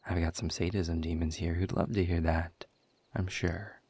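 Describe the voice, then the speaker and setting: A man playing a sardonic, world-weary demon director in a scripted audio roleplay, speaking in dry, sarcastic, wordy drawls with a husky, breathy low voice. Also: a little mellow, no room sound, heavily acted. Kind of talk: scripted audio roleplay monologue addressed to the listener.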